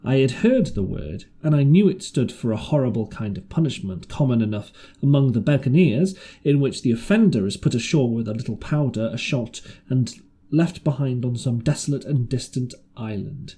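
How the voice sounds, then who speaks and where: A man's voice: mid-range voice, animated, moderate pace, mellow, husky. A man reading an adventure novel aloud as scripted audiobook narration. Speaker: audiobook reader.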